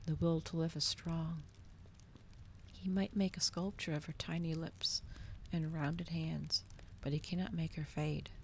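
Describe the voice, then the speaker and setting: A woman voicing a calm, persuasive male vampire in a soft, coaxing ASMR story reading. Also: deep voice, unhurried, slight background noise.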